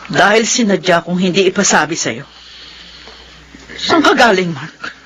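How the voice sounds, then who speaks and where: A woman's voice: lowish voice, animated, unhurried, a bit bright, a little hiss or hum. A woman playing an anguished, long-suffering middle-aged mother in a melodramatic radio drama, tearful and reproachful. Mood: stern, accusing. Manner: tearful, reproachful, wailing outbursts.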